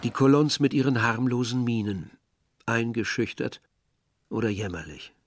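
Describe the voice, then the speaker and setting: Ein Schauspieler spielt den nachdenklichen jungen Dörfler, der in einem literarischen Hörspiel von seiner Reise erzählt. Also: male voice, medium pitch, moderate pace, no echo, clean studio sound, lightly acted. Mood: observant. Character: weary, observant young male villager narrating a journey. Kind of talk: literary radio drama narration.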